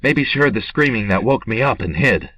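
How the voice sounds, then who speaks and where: A man's voice: mid-range voice, animated, a little fast, background noise, lightly acted. An actor in an audio drama playing a tense, bossy armed man who takes charge and interrogates people urgently. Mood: commanding. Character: tense male amateur investigator with a gun, commanding.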